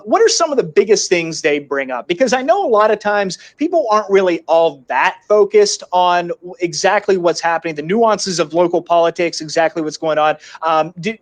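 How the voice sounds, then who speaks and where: American guy hosting a casual interview, chatty, voice a bit on the high side. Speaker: interview host.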